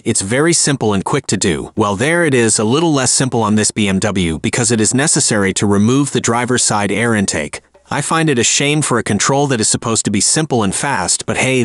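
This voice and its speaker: Man calmly narrating a car repair. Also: medium pitch. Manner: calm, step-by-step explaining. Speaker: car repair video host. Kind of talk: scripted how-to voice-over.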